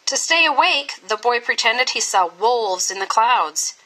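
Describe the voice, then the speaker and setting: A woman reading a children's story aloud in an expressive, scripted style with dramatic, deliberate phrasing. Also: a little high, poor audio. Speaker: storybook reader.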